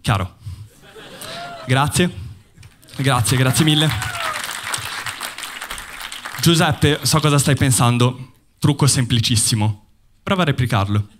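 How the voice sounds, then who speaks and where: A young man from Bologna performing a stand-up comedy set on a theater stage, talking into a handheld mic with a deadpan, ironic delivery and pauses for laughs. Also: medium pitch, a crowd in the background, background noise. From Bologna, Italy. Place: theater stage before a live audience.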